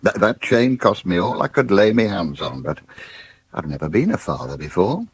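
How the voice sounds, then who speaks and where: An actor playing a kindly, proud old farmer, speaking gently and haltingly in a radio drama. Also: male voice, sits low, very animated. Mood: tender, wistful. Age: 70s. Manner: gentle, plain-spoken, halting. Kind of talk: naturalistic radio drama acting. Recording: 1980s radio drama broadcast.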